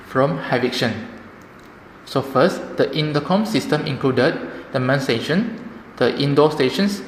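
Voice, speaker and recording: A man presenting a product demonstration, explaining things simply, step by step. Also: mid-range voice. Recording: echoey reverberant room, background noise.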